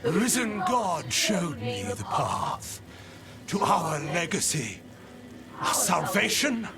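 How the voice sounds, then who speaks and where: A man's voice: high-pitched, very animated, slow pace, vocal fry, full character voice. A man playing a fanatical, menacing blood mage who declaims grandly through a possessed body, in a fantasy game. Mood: fanatical, grandiose. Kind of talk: theatrical fantasy video game acting. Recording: loud music behind the voice.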